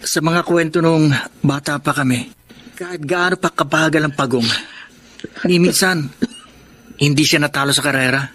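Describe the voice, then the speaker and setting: An actor playing a dutiful, hopeful twenty-year-old son in a radio drama, earnest and caring. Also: male voice, on the high side, fairly bright tone. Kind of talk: Tagalog radio drama acting.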